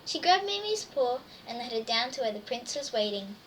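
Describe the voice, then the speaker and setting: A woman's voice: fairly high pitch, sing-song, moderate pace. A woman reading a children's storybook aloud expressively, full of wonder and exclamation, addressing young listeners. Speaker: storybook reader. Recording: distant mic, faint background noise.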